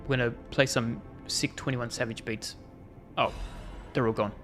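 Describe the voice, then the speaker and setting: A man's voice: medium pitch, fairly even intonation, normal speed, fairly bright tone, faint music behind. A man streaming a video game, rambling with jokey asides as he plays. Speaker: gaming streamer. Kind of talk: casual gameplay commentary.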